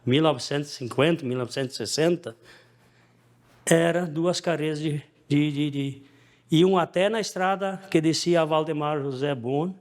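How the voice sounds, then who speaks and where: Older southern Brazilian man, higher voice. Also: normal speed. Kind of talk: interview reminiscence.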